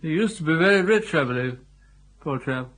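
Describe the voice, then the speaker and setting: An amiably muddled elderly lord from a radio comedy, with an upper-class English accent. His voice sits high for a man and moves about freely as he dithers and repeats himself. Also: animated, normal speed, fairly bright tone. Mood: mildly matter-of-fact. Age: older. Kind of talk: BBC radio comedy drama acting.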